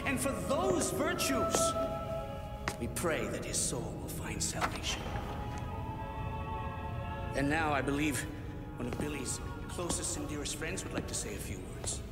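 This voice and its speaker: An actor playing a solemn, righteous priest who speaks like an orator in a dramatic video game. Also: male voice, fairly high pitch, sing-song, a little slow, long pauses. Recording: long church reverb, music behind.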